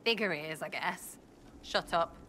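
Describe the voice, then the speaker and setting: A cheeky young female elf from a video game with a bright, forceful voice. Her British accent is slangy and irreverent. There is faint ambience behind her. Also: lowish voice, unhurried, slight background noise. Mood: playful. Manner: breezy, slangy, impish. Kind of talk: video game dialogue acting.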